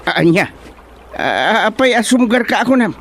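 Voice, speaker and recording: A man with an Ilocano accent playing an excitable, bewildered country fellow in a radio comedy drama. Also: high voice, normal speed, a little hiss or hum, heavily acted. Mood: puzzled. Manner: exclamatory, theatrical.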